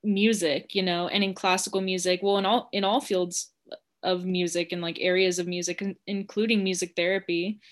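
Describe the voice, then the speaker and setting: A woman's voice: fairly low pitch, flat delivery, normal speed. Chatty young woman co-hosting. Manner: chatty, heavy use of "like", frank. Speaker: podcast co-host. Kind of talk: casual podcast conversation.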